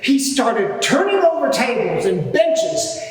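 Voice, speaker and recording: A man playing a high-voiced, pompous high priest, echoing hall. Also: very animated, heavily acted. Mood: indignant. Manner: declamatory, pompous, deliberate. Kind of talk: dramatised courtroom testimony. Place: large courtroom before a live audience. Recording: very echoey hall, distant mic.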